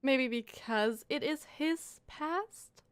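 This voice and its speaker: A woman's voice: sits high, slow, dry recording. A woman streaming a game with casual, enthusiastic and reactive commentary.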